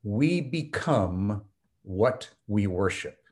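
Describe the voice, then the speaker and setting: A man's voice: lowish voice, animated, extremely slow, darkish tone. A man who is a theology professor and pastor, guest on a podcast, explaining ideas in an earnest teaching tone with frequent ums. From United States. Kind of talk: conversational podcast interview.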